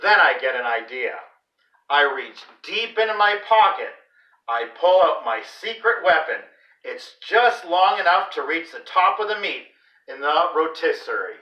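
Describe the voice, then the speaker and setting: A man reading a children's story aloud in an expressive, deliberate, dramatic way. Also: a little high, highs cut off. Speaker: read-aloud host for a school class. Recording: roomy echo, distant microphone.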